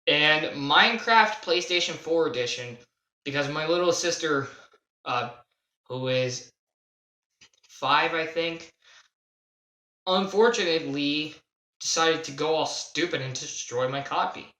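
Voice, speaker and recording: A young man telling a casual, unscripted gaming story in a halting, self-deprecating way, stressing key words as he goes. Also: on the high side, sharp tone. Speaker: video creator telling a personal gaming story. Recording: roomy, echoey home recording.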